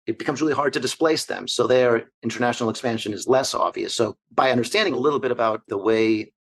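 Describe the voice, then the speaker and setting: A man in his forties from Argentina, answering interview questions as an investor in long, measured, structured lists. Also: mid-range voice, slightly rough. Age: 40s. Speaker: investor guest.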